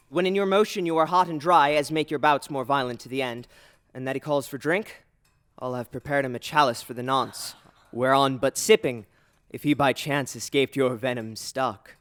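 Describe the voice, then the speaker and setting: A male actor playing a scheming, manipulative king in a Shakespearean audio drama, speaking in a deliberate, persuasive, theatrical way. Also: mid-range voice, a bit bright, close to an everyday voice. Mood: plotting. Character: scheming usurper king, smooth, manipulative middle-aged man.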